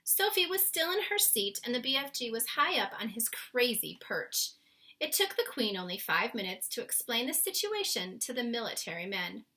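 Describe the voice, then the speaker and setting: A woman reading a storybook aloud with expressive narration. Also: a little high, normal speed. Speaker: storybook reader.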